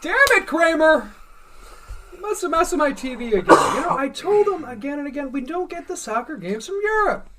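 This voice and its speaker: A woman's voice: high voice, big pitch swings, brisk, far from the mic, very noisy. A woman playing an exasperated, wisecracking male sitcom comedian who gripes incredulously in a comedic parody. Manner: incredulous, griping.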